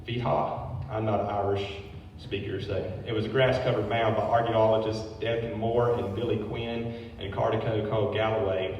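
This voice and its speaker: A man's voice: on the low side, a little mellow, highs cut off. Man lecturing from afar in an echoey hall. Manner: informal asides between read passages. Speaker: brewery owner giving a history talk. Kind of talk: public lecture reading from notes. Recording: very echoey hall, distant mic, faint noise.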